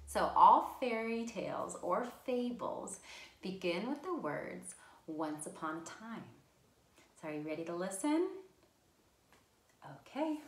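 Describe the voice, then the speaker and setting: A woman's voice: on the low side, echoey room. A woman hosting a children's storytime, speaking simply and encouragingly as if to young children. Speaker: children's library storytime host.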